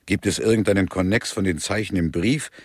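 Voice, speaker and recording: An actor playing a brooding, disapproving investigator in a radio play, terse and probing, with a husky voice. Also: male voice, low-pitched, fairly quick, a little mellow, no room sound, clean studio sound. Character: brooding, disapproving male investigator asking questions. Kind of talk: German radio play dialogue.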